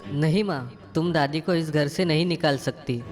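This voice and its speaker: A woman's voice: low voice, normal speed, darkish tone, faint music behind, pitched below the performer's own voice, lightly acted. Woman doing a stern boy in echoing reverb. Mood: stern warning. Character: stern young grandson warning his mother in a dream.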